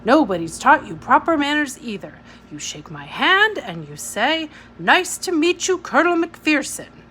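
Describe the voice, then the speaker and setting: A woman's voice: high voice, very animated, a little slow, fairly bright tone, voice pushed up, full character voice. A woman voicing a cross, commanding old retired army colonel in a children's storybook reading. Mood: stern, commanding. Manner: crotchety, commanding, insists on proper manners. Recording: noisy home recording.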